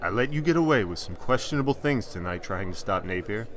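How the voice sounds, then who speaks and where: A man playing a middle-aged school principal and father in an audio drama, steady, wry and dryly firm. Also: on the low side, brisk, natural voice. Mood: reassuring, firm.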